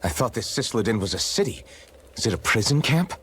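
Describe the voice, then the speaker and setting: A man's voice: fairly low pitch, sing-song, fairly quick, a bit bright, slight background noise. A man playing a young, determined swordsman with a dry streak in a video game cutscene. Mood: resolute.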